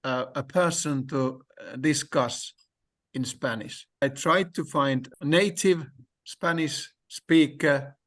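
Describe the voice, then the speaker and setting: Finnish-native guy talking real slow, lots of uh and restarts, mid pitch. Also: a bit bright. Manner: slow, hesitant, many fillers and restarts. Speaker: language learner sharing experience. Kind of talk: conversational discussion.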